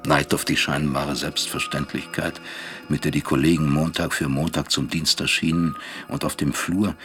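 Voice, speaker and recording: An actor playing a guilt-ridden middle-aged father in an introspective radio drama, gravelly and husky, brooding and questioning himself in halting phrases. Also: a bass voice, little pitch movement, fairly bright tone, soft background music. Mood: resigned, regretful.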